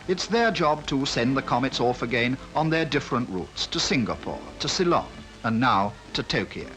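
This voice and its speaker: British guy narrating an old 1950s newsreel, really lively up and down voice, music going underneath. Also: medium pitch, normal speed. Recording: archive newsreel voice-over with music behind.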